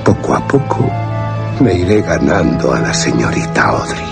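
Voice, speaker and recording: A low slow vampire count, suave and sinister, radio play w loud music. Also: male voice, big pitch swings, gravelly, heavily acted. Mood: gloating, scheming. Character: sinister, seductive aristocratic male vampire, falsely tender schemer. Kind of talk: Spanish radio horror drama acting. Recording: prominent music under the voice.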